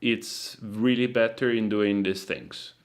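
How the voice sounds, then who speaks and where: A man giving a casual, loosely phrased software tutorial as a sound designer. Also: on the low side, fairly even intonation, slow pace.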